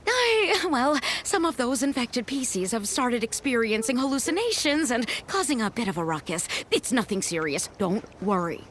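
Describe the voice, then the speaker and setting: A woman's voice: on the high side, very animated, normal speed, few pauses, a bit bright, a little hiss or hum. A woman playing a proud, fussy elderly village head in a video game, with a theatrical, blustering delivery. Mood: flustered, evasive. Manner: theatrical, blustering, stammers when evasive. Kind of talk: video game character acting. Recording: game music behind the voice.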